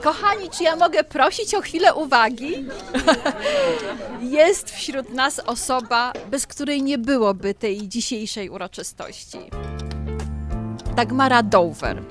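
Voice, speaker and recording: Older bride granny in audio drama, heartfelt n slow, music behind. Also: female voice, sits high, animated. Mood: grateful, festive. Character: warm, emotional older bride and grandmother.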